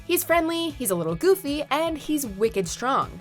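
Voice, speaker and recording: Lively woman narrating a countdown. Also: high-pitched, moderate pace, faint music behind. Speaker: narrator of a countdown list video. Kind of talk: scripted upbeat narration.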